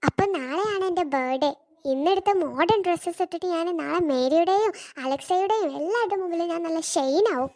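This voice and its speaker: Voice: extremely high pitch, animated, a little fast, darkish tone. A performer voicing an eager, vain little cartoon schoolgirl with a childish, exclamatory delivery. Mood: excited, boastful. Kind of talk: scripted cartoon dialogue.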